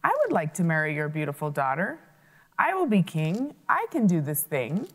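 A woman's voice: low voice, sing-song, moderate pace. A woman voicing a slender young male antelope who speaks in an earnest, eager way.

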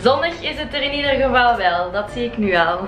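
A woman's voice: a little high, expressive, fairly quick, over music. A young adult woman with a Flemish accent, chatting casually as a travel vlogger. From Belgium. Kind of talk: casual vlog chat. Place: car, restaurant terrace and outdoors on holiday. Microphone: handheld camera at arm's length.

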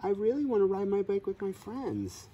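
A man's voice: high voice, wildly swooping pitch, normal speed, warm tone, breathy edge, lo-fi sound, voice pushed up, heavily acted. Man as a timid young girl goat. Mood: scared. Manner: hesitant, worried.

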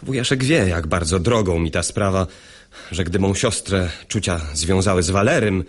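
Deep-voiced, earnest young son. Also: male voice, moderate pace. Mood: anxious, earnest. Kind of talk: classic stage comedy radio acting in verse.

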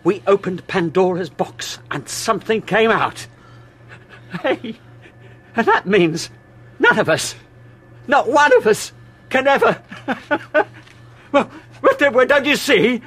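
High male voice, swooping intonation, feverish scientist, stammering, intense, radio drama. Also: big pitch swings, slow pace, pauses often, a bit bright, husky, heavily acted. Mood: manic despair. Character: feverish male research scientist, half-crazed with dread.

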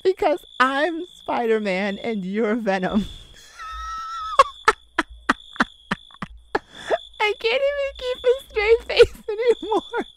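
Over clearly audible background noise comes a woman acting as a young, sweet-talking boyfriend in an intimate ASMR roleplay. The delivery is slow but highly animated, leaping around in pitch. The manner is playful, childlike and affectionate. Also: heavily acted. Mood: flustered playful. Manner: giggly, cutesy, playful. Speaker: audio roleplay performer.

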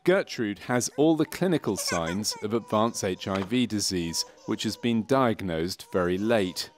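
Guy with a fairly low voice reading narration for a medical training film, measured and matter of fact. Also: animated. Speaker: documentary narrator.

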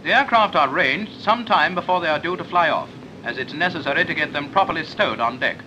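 A man's voice: on the high side, animated, brisk, sharp tone, dull audio. A British man reading a scripted 1940s instructional film narration in a clipped, explanatory manner. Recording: noisy 1940s film soundtrack.

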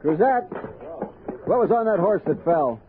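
An American expedition captain in an old radio drama. He is steady and decisive, with a fairly high, expressive voice. The recording is narrow and noisy. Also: a little slow. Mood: concerned, decisive. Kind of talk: 1960s radio drama acting.

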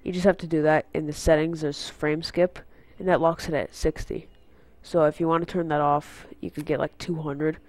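A man's voice: fairly high pitch, flat delivery, moderate pace, few pauses, a little mellow, no echo. A teenage boy with a congested, stuffy nose from a cold, rambling casually through a channel update on an unfamiliar headset mic. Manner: rambling, filler-heavy, goes on tangents. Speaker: gaming channel host.

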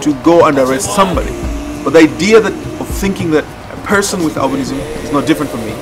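A man's voice: fairly high pitch, lively intonation, fast talker. A man explaining methodically, as a project facilitator in a documentary interview. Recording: distant mic under background music. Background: music.

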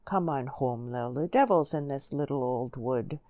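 A woman's voice: rumbling low, unhurried, dark tone, dry recording. Woman voicing an earnest rustic English young countryman. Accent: rural English dialect. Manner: blunt, earnest dialect speech.

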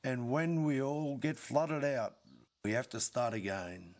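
Slow-paced man reciting verse. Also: medium pitch, animated, darkish tone. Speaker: poetry reader. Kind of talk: dramatic recitation of a comic bush ballad.

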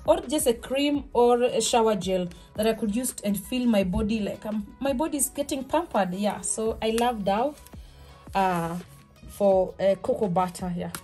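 A woman's voice: fairly high pitch, normal speed. Chatty woman unpacking groceries at home. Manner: chatty, relaxed, restarts and fillers, soft laughs. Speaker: vlogger. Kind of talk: casual shop-with-me haul chat. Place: small home kitchen, indoors. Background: background music.

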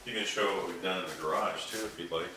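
A man's voice: deep voice, fast talker, sharp tone, reverberant. A man tossing dry joking asides from off camera inside a house. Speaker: husband helping with the vlog. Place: house, indoors.

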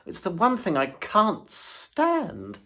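A storybook reader voicing an excitable, boastful male toad with a husky voice, gushing and loud. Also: medium pitch, slow pace, voice pushed up, exaggerated voice. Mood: pleading. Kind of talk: storybook reading.

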